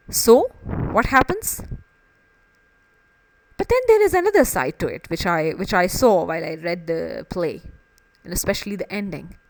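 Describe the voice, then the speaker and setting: A woman's voice: mid-range voice, no room sound, poor audio. A woman literature teacher explaining a play in an emphatic way, often repeating and rephrasing phrases to stress them.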